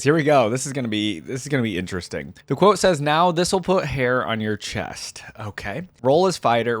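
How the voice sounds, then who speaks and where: Male reaction host, mid-range pitch, informal and relaxed delivery for commentary and read-alouds, dry close-mic sound. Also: no room sound.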